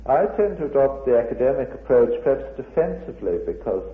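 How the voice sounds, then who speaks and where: A man's voice: mid-range voice, very animated, fast talker. A male academic answering an interviewer in a careful, reserved, slightly hesitant way. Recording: muffled, phone-like, echoey room.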